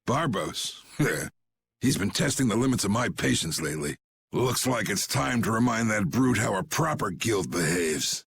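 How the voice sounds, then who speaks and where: Gruff old crime-lord type for anime game: gravelly, blunt, big swings, quick temper, music underneath. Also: male voice, on the low side, sing-song, a bit bright, slight background noise, exaggerated voice. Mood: gruff, amused. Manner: blunt, swaggering, drawling. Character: gruff, commanding old guild boss, quick to anger. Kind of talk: anime video game voice acting. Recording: background music behind the voice.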